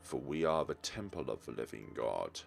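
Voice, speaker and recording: A man voicing an ominous hooded figure from a visual novel, a solemn and cryptic prophet speaking slowly and portentously. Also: rumbling low, big pitch swings, gravelly, soft background music, voice pushed down, full character voice.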